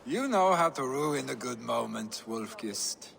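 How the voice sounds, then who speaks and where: A man's voice: medium pitch, animated, a little slow, creaky, a bit bright, slight background noise, full character voice. An actor playing a proud, restless young Viking warrior man in a video game, speaking earnestly and with some grandeur. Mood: playful, eager. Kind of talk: dramatic video game acting. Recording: long hall reverb.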